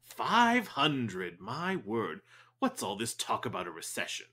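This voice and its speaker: A man's voice: fairly low pitch, huge pitch swings, takes it slowly, bright, forceful tone, slightly rough, heavily acted. A man playing a theatrical, fussy detective's sidekick with a florid, pompous, languid way of talking in an audio drama.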